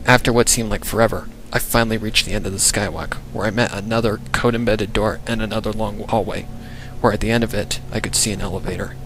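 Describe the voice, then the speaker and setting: A man reading a story aloud as dramatic scripted narration. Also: fairly low pitch. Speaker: fan fiction reader. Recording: clearly noisy background.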